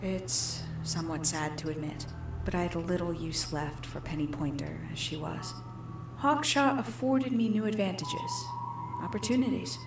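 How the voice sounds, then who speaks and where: A woman playing a calculating young villain in a video game, confessing her schemes in measured, deliberate, theatrical storytelling. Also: lowish voice, creaky, noisy background. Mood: sly, composed. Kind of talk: scripted video game character monologue. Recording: echoey, with music behind.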